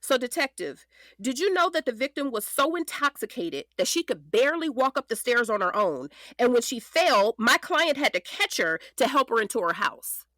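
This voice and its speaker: A woman playing a sharp, determined defense attorney in an audio drama, sarcastic yet caring, with pointed theatrical delivery. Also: on the high side, very animated, normal speed, no echo. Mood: controlled, probing.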